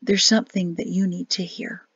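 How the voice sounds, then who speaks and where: A woman with a rough, crackling voice voicing a calm, gentle older male rector, soft and soothing. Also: on the low side, normal speed, dry recording, muffled recording.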